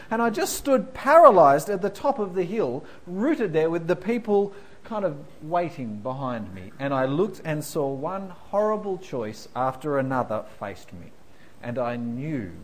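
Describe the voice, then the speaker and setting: A man preaching a sermon, telling funny stories about himself before turning serious. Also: high voice. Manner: self-deprecating storyteller, animated, laughing through anecdotes. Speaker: pastor.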